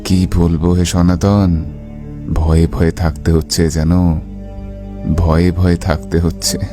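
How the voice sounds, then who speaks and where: A man's voice: deep voice, level tone, takes it slowly, music in the background. A man playing an uneasy, exasperated village tradesman in a cartoon, earnest and grumbling. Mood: fearful. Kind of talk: cartoon voice acting.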